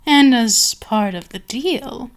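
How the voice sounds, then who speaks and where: A woman playing an imperious, seductive vampire queen in an ASMR roleplay, speaking deliberately and condescendingly, sometimes slipping into whispers. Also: fairly high pitch, expressive. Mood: condescending, controlling. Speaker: audio roleplay performer.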